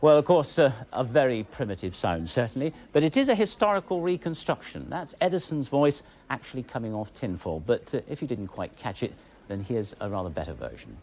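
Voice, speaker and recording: A man's voice: mid-range voice, big pitch swings, a little fast, very noisy. A man with a British accent presenting and explaining things in a documentary style. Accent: British English. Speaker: television presenter.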